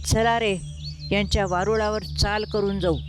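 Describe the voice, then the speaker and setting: A woman's voice: mid-range voice, fairly even intonation, measured pace, over music, close to an everyday voice. A woman playing a haughty, commanding male elephant king in a told story. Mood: outraged, commanding.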